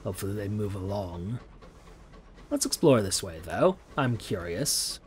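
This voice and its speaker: A man's voice: lowish voice, expressive, unhurried, slight background noise. A man playing a space exploration game, thinking aloud with frequent hums and reading out the game's text, a little strained as he is losing his voice. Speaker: game streamer. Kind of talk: let's play commentary with reading of game text.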